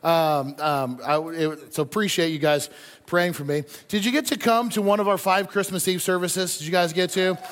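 A man with a rather high voice gives a conversational sermon as a pastor. He speaks informally and repeats short phrases to drive them home. His voice rings with the long reverb of a big hall. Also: steady tone, moderate pace, fairly bright tone. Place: large indoor church hall.

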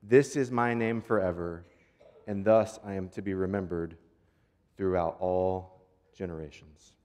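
Male pastor, slightly low voice, conversational sermon, earnest and informal, rhythmic repetition, long hall reverb. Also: a little mellow. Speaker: pastor preaching. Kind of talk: conversational sermon with prayer and storytelling.

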